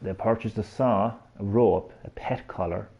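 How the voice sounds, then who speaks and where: A man's voice: fairly low pitch, unhurried, gravelly, warm tone, breathy edge, lo-fi sound. A man in his thirties with a Cork Irish accent, chatting to his viewers as he streams a game. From Ireland. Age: 30s. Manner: chatty, jokey, talks to chat. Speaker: live streamer. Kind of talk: live gameplay commentary.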